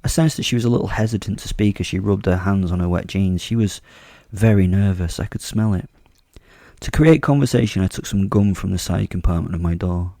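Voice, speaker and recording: Male horror narrator, very deep and gravelly, mellow tone, menacing and wry. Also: brisk, no echo, clean studio sound. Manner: brooding, wry and menacing, with repeated stumbles. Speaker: host and narrator. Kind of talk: first-person horror audio drama narration.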